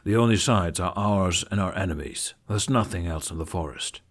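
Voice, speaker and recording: Man playing a low, stern warrior king. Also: moderate pace. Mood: stern, dismissive. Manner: flat, curt, commanding. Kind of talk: dramatized fan fiction reading.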